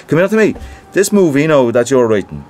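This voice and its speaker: A man's voice: medium pitch, big pitch swings, fast talker, a little mellow, natural voice. An actor playing a chatty, eager, gossipy local man with a Cork Irish accent in a radio drama. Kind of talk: naturalistic radio drama dialogue. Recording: faint ambient noise behind.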